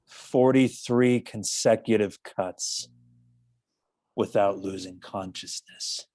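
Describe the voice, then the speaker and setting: An actor playing a bitter, mistrustful donkey, gloomy, deadpan and blunt, in a comic stage play reading. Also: deep voice, takes it slowly, no echo. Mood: morose, deadpan.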